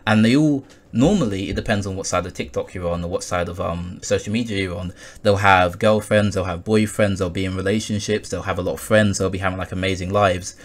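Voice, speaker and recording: Low-voiced hesitant twenty-year-old man. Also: brisk, hardly any pauses. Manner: hesitant, full of ums and likes, laughs often. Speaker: podcast guest. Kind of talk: casual conversation.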